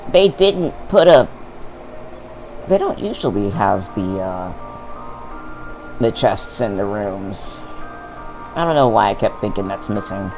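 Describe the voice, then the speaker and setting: A man's voice: fairly low pitch, expressive, takes it slowly, pauses often, over music, rough recording. A man chatting enthusiastically with a friend while playing a game, laughing a lot. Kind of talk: casual let's-play chat.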